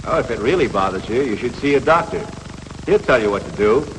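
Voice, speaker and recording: A man's voice: mid-range voice, expressive, brisk, lightly acted. An actor playing a patient, matter-of-fact instructor of boys in a 1950s educational film, an American man who explains things plainly and reassuringly. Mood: frank, reassuring. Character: patient, matter-of-fact male coach teaching adolescent boys. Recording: noisy, reverberant 1950s film soundtrack.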